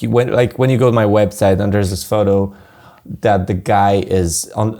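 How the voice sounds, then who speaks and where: A man's voice: low-pitched, vocal fry, dark tone. A man with a Polish accent, a wedding photographer guest chatting casually, rambling with many fillers and restarts. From Poland. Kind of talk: casual interview chat.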